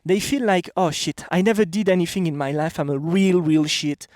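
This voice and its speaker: French man with a high, bright voice. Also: moderate pace, dry recording, studio quality. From France. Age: 30s. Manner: blunt, emphatic, repeats "okay?". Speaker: vlogger. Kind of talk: casual motivational talk to camera. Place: outdoors.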